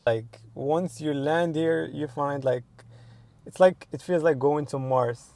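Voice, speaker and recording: A man's voice: on the high side, takes it slowly, darkish tone, no room sound. A man in his twenties from Morocco, answering interview questions casually, with many restarts and "like"s. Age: 20s. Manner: hesitant, restarts often, uses "like" and "you know". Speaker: interview guest.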